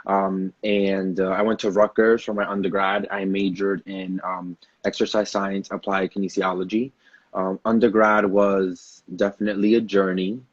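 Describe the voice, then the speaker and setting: Low-voiced young man on a call. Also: normal speed, no echo, lo-fi sound. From Puerto Rico. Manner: relaxed, frequent likes and ums. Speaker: guest, nurse. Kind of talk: casual interview answers.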